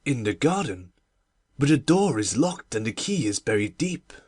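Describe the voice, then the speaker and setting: A woman voicing a brooding, grieving middle-aged gentleman in an audiobook reading, speaking low, slow and pensive. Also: big pitch swings, breathy edge, no echo, studio quality, exaggerated voice. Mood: wondering.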